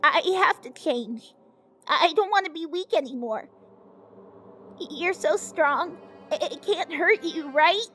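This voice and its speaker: A woman plays a gentle, timid teenage boy with a very high voice. The delivery is earnest and hesitant, stammering and pleading in anime-dub style, over faint music. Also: animated, measured pace, pauses often, full character voice. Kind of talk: dramatic anime-style fandub acting. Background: faint music behind the voice.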